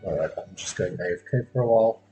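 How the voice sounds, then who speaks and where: A man with a low voice talks casually as he streams a game. Faint music plays behind his commentary. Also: quick pace, warm tone, poor audio.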